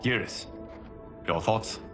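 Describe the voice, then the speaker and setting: An actor playing a calm, resolute fire god who protects his realm, speaking in a measured, formal, solemn way in a game cutscene. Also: natural voice. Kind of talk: dramatic video game cutscene acting. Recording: loud music under the voice.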